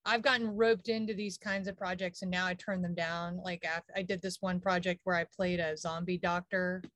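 A woman co-hosting a podcast, chatting casually and telling an animated anecdote with exasperated asides. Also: fairly low pitch, moderate pace, no echo. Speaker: podcast co-host. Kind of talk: casual chat.

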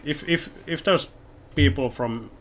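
A man's voice: a little high, a little slow, a bit bright, a little hiss or hum. A man from Finland with a Finnish accent, a podcast guest chatting casually and rambling with lots of fillers, with English as a second language. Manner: rambling, many fillers like "you know" and "like". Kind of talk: casual chat.

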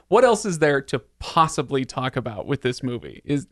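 A man's voice: mid-range voice, very animated, normal speed, no echo, studio quality. A man hosting a casual, conversational podcast discussion about films. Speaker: podcast host. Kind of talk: casual film chat.

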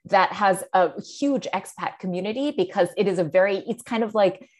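A woman's voice: mid-range voice, moderate pace, a little mellow. A woman from Singapore, a novelist guest on a podcast, speaking thoughtfully with frequent ums and restarts. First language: English. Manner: thoughtful, many ums and restarts, laughs easily. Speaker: guest author. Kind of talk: podcast interview.

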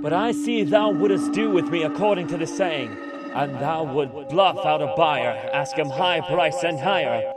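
An actor playing a proud, touchy younger brother vizier in an audio drama, speaking in a heated, argumentative way. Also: male voice, on the high side, moderate pace, close to an everyday voice. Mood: indignant. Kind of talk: radio drama acting. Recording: voice over loud music, from a distance.

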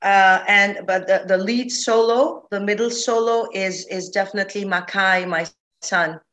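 A middle-aged woman, speaking at an ordinary pitch. She answers interview questions in a thoughtful, unhurried way and often restarts her sentences as she shapes her thoughts. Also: fairly even intonation, a bit bright. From Lebanon. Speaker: singer-songwriter guest. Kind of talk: conversational interview.

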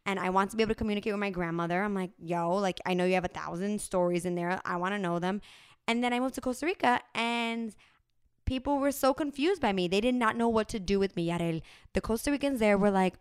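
Smooth-voiced woman telling her story. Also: medium pitch, fast talker, no room sound, clean studio sound. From New Jersey, USA. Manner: animated storytelling with frequent fillers. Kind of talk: casual conversational chat.

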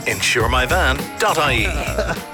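A man's voice: medium pitch, big pitch swings, takes it slowly. A man reading a scripted radio advert as a voice-over. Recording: music bed behind the voice.

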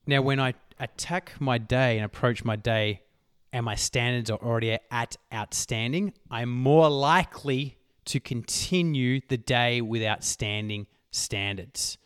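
A man hosting a solo motivational podcast, talking emphatically and swearing casually, his voice worn hoarse. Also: mid-range voice, unhurried, no echo, clean studio sound. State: losing his voice. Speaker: podcast host.

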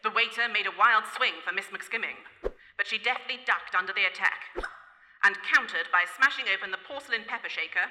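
An actress playing the wry narrator of a comic radio mystery, measured and mock-dramatic. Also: female voice, mid-range voice, noisy background, lightly acted. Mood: mock suspense. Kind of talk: scripted radio play narration. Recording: echoey hall, live stage.

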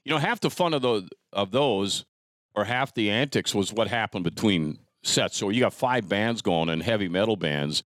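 A man's voice: lowish voice, expressive, normal speed, fairly bright tone, dry recording, clean studio sound. A middle-aged American man hosting a casual chat, reminiscing in a rambling, conversational way. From rural United States. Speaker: podcast host.

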